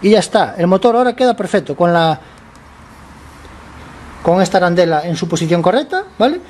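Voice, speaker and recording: A man with a high-pitched, slightly hoarse voice, worn by a cold, chats through a motorcycle repair in his garage. He talks to the camera as he works, explains each step in a loose, conversational way, and keeps checking that viewers are following. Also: big pitch swings, a little fast, darkish tone, slight background noise. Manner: chatty, explains step by step, keeps asking ¿vale?. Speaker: motorcycle mechanic vlogger. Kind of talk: casual workshop tutorial.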